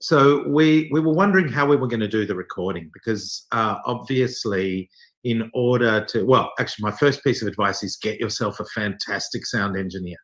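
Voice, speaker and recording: A man with a fairly low voice and a bright, forceful tone gives a casual interview. He speaks thoughtfully and haltingly, with a dry, self-deprecating wit. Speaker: theatre company director being interviewed.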